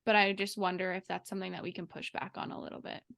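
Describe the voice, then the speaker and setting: A woman of average pitch talks casually as a podcast co-host. She reasons her way through points reflectively, often seeking agreement as she goes. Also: level tone, fast, vocal fry, a bit bright. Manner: reflective, reasoning aloud, checks with "right?".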